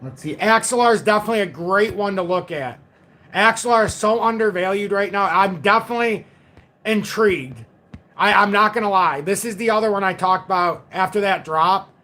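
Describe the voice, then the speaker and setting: A man's voice: high-pitched, brisk, bright, forceful tone. A man in his forties hosting a casual crypto livestream, walking through charts and reading out viewer comments, often repeating himself. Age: 40s.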